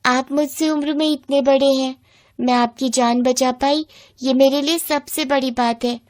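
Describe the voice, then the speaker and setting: A high-pitched, plaintive young village woman, acted out by a female storyteller. She is poor and earnest, pleading her case in a moral bedtime tale. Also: steady tone, unhurried. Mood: concerned.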